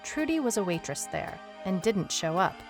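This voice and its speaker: Wry female detective, mid-pitched, dry first-person storyteller for an audio mystery drama, music underneath. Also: big pitch swings, moderate pace. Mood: wry, matter-of-fact. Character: wry female detective recounting a strange monster case.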